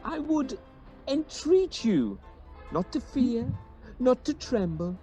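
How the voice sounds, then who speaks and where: A woman's voice: on the high side, sing-song, slow pace, mellow, dull audio, soft background music. A woman playing a bossy, vain amateur actor, theatrical and emphatic, in a Shakespeare radio play. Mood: grandly theatrical. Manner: theatrical, emphatic, self-important.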